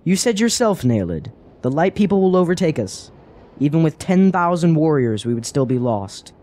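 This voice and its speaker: An actor playing a thoughtful, questioning adventurer in a fantasy radio drama, earnest and persuasive, with formal phrasing. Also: male voice, a little high, very animated, normal speed, mellow.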